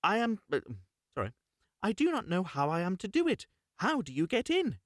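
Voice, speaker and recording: A man's voice: wildly swooping pitch, moderate pace, no room sound, clean studio sound. Storybook: man voicing a frightened young girl, timid and pleading. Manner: high, timid, faltering.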